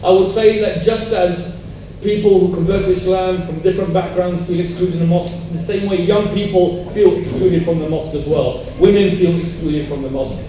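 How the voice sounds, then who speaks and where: A man's voice: high-pitched, steady tone, a little fast, mellow. A man speaking on a panel at a public discussion, emphatic and repeating phrases for stress. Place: public event hall. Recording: very echoey hall, distant mic, faint noise.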